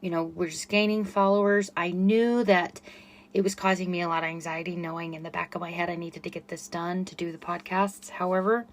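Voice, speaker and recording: A middle-aged woman with a quiet voice hosting a solo podcast, chatty and self-effacing with frequent fillers. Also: fairly low pitch, fairly even intonation, fairly quick. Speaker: podcast host and running coach. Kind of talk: casual solo podcast monologue.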